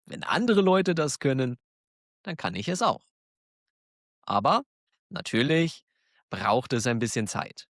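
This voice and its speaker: A man with a German accent, hosting a podcast for learners, talking slowly and clearly in a warm, encouraging way and laughing now and then. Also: mid-range voice, very animated, no room sound, studio quality. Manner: encouraging, self-deprecating, laughs often. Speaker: German teacher, podcast host. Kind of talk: slow, clear monologue for learners.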